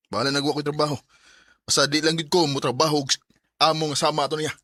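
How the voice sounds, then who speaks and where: Hurt proud cebuano filipino boyfriend, radio drama, rough sharp voice, very dry recording. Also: male voice, on the high side, level tone, brisk, no room sound. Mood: hurt, indignant. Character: proud, wounded young boyfriend fed up with being insulted.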